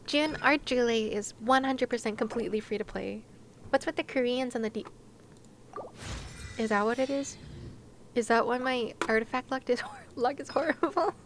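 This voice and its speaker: A woman's voice: fairly high pitch, normal speed, slight background noise. A woman chatting casually to viewers on a game livestream, with a playful, giggly, chatty manner. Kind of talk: casual livestream chat while gaming, talking to viewers.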